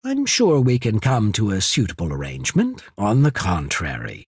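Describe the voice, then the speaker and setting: A man playing a calculating imperial diplomat in a video game, speaking in a measured, formal, persuasive manner with a husky voice. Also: lowish voice, wildly swooping pitch, no room sound, clean studio sound, exaggerated voice. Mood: grave, formal. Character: smooth, calculating male diplomat of an imperial trading power. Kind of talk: scripted video game character dialogue.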